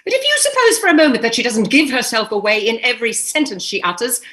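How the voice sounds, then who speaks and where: A woman playing a shrewd older society mother in a staged comedy reading, fondly scolding with crisp, pointed phrasing. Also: a little high, huge pitch swings, fast talker, bright, forceful tone, exaggerated voice. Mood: exasperated, fond.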